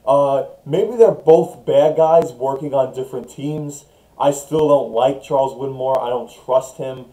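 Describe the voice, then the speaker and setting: A man's voice: mid-range voice, mellow, rough recording. A young man casually recapping and theorizing about a TV episode in a chatty vlog. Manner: chatty and theorizing, quick bursts. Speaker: show recap vlogger.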